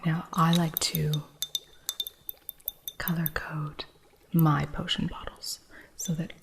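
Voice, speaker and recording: A stern, impatient witch shopkeeper, whispered by a woman in an ASMR roleplay, speaks in a low, breathy whisper. She talks slowly and deliberately, with a strict and haughty edge. Her voice sits deep for a woman. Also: little pitch movement, slight background noise, heavily acted. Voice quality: soft unvoiced whisper.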